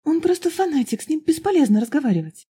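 Storybook role: narrator plays polite but indignant young woman, raised pitch, emphatic delivery. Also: sing-song, fast, mellow. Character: excitable, earnest young woman recounting her grievances.

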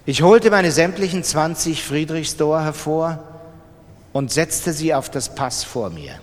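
A man reads literary fiction in standard German, with dramatic delivery. His voice sits a little high for a man and is carried by a long reverb. It is a radio narration in the first person. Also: lively intonation, a little slow. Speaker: literary reader in a radio production. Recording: studio reading with long reverb.

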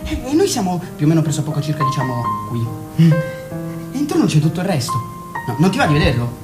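A woman's voice: low voice, quick pace, loud music behind the voice. A woman voicing a restless teenage son in a radio play, bitter toward his mother and coaxing with his sister. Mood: gentle coaxing. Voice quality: expressive, pleading and mocking. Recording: roomy echo.

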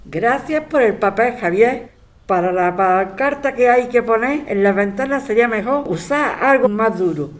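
A woman's voice: on the high side, expressive, normal speed, natural voice. A woman with a Spanish accent from Spain playing a determined, forthright neighbour in a scripted audio drama. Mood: brisk. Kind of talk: scripted radio drama dialogue.

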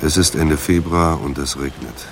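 A man narrating a noir audio drama in terse, measured, brooding sentences. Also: a bass voice, steady tone, creaky. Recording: rain and street noise behind voice. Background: rain, traffic ambience.

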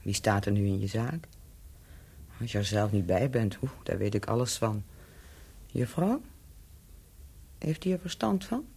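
A worldly woman hotel owner in a radio drama, with a low, husky voice that swings widely in pitch. She is teasing, sardonic and theatrical, mocking an old lover. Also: lively intonation, unhurried, pauses often, warm tone, heavily acted. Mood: knowing, cool. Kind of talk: radio play acting.

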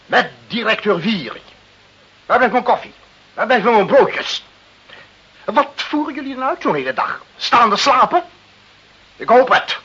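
Hissy muffled radio drama, pompous male bureaucrat boss, peevish, muddled, blustering, very animated delivery. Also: sits high, husky, full character voice. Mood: irritated, snapping. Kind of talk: radio play acting. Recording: dull, hissy old radio play recording.

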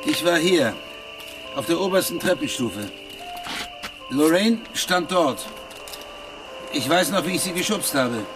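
An actor playing a troubled, guilt-ridden ex-detective who answers tersely and defensively in a German radio crime drama. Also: male voice, on the high side, lively intonation, measured pace, pauses often, rough recording. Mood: haunted, recalling. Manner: terse, clipped recollection. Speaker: client being questioned. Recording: studio radio play with music underneath. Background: music.

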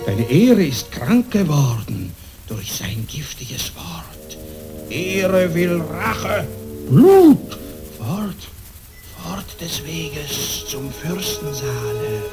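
An actor playing a menacing male dream spirit, a tormenting evil conscience, in theatrical radio play style with a commanding, incantatory delivery. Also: on the high side. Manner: slow, commanding, incantatory, with hissed whispers. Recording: dramatic music behind the voice.

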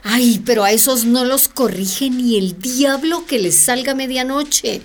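Una mujer que interpreta en un radioteatro a una alcaldesa indignada y coloquial. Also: female voice, sits high, a little slow, faint music behind. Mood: exasperated. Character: exasperated female mayor, colloquial and indignant. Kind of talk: radio drama acting.

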